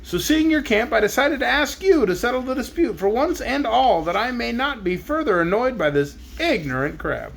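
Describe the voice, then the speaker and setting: A man's voice: very high-pitched, very animated, normal speed, vocal fry, few pauses, a bit bright, a little hiss or hum, pitched above the performer's own voice, full character voice. A man voicing a proud, touchy male zebra in a storybook reading, with an indignant, haughty manner.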